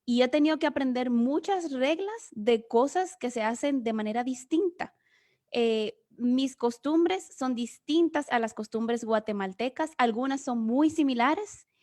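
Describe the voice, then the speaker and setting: A woman's voice: on the high side, a little mellow. A woman from the Dominican Republic with a Dominican accent, giving an earnest, explanatory Bible teaching as guest speaker. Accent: Dominican Spanish. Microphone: remote video session.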